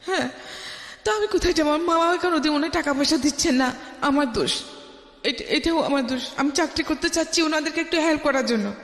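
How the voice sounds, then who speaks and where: Middle-aged Bangladeshi female guest, high voice, emphatic personal storytelling, recorded with long reverb. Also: fast talker. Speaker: guest telling her life story. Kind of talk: personal storytelling in a radio interview. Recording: echoey room with long reverb.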